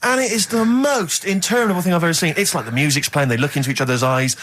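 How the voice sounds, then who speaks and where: A man's voice: fairly high pitch, quick pace, fairly bright tone. A man co-hosting a radio show with exasperated, incredulous comic banter and rants.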